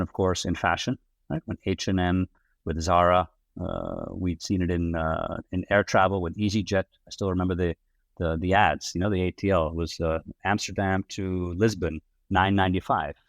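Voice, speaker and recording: A man in his forties from the Netherlands with a Dutch accent touched by American English, telling his story as a podcast guest in a relaxed, chatty way full of fillers. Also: low-pitched, normal speed, creaky, no echo. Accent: Dutch with American-tinged English. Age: 40s. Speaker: podcast guest, hotel brand executive. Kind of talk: casual interview storytelling.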